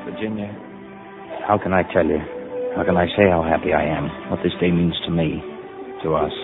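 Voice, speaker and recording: A devoted, loving young husband, hushed and tender. His voice is very low. Music plays clearly under a thin, phone-like old radio sound. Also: steady tone, a little fast. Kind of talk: 1940s radio drama acting. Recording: tinny 1940s radio broadcast with music.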